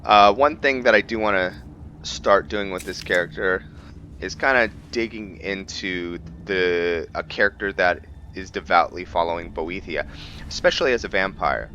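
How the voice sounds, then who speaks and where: A man giving casual gameplay commentary on a roleplay, thinking aloud and often pausing to find his words. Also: low voice, sharp tone, muffled recording, a little hiss or hum. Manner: musing, thinking aloud with frequent hesitations. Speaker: gaming video host.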